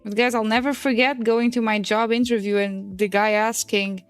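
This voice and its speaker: A woman's voice: fairly high pitch, steady tone, moderate pace, clear, resonant tone, faint music behind. A woman in her twenties, a native Portuguese speaker, chatting casually on a stream while she draws, swearing and laughing often. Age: 20s. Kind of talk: casual chat while drawing.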